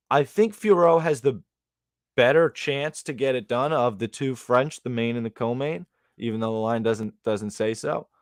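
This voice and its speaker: A man co-hosting a podcast, breaking down fight betting lines in an animated, slangy way. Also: mid-range voice, no room sound, studio quality. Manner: animated, slangy, calls people dog. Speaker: podcast co-host, betting analyst. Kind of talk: casual sports betting chat.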